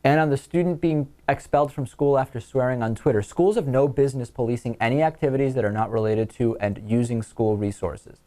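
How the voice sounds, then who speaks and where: A man's voice: medium pitch, a little fast, few pauses, mellow. A man with an American accent hosting a talk radio show, reading listener messages and commenting on them.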